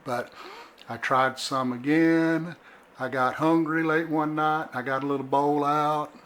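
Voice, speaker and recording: An older man, co-host of a home cooking channel, talking in a relaxed, folksy storytelling way. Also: a little high, unhurried, a little hiss or hum. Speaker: co-host, husband. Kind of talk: casual chat.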